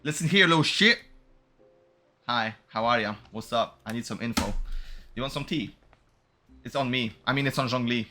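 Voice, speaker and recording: A man's voice: medium pitch, moderate pace, long pauses, over music. A man giving casual, joking game commentary with slang and swearing, sometimes reading dialogue aloud. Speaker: game streamer.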